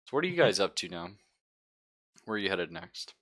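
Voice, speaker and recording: Deep, animated, bright-voiced man running a game. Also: fast. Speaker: game master. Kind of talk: casual live-streamed tabletop game chat.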